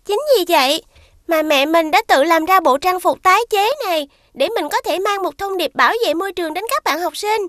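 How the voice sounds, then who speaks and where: A woman's voice: very high voice, fairly quick, fairly bright tone, dry recording, clean studio sound. A woman voicing a shy, earnest young schoolgirl who explains things carefully, in a children's cartoon. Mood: earnest, embarrassed.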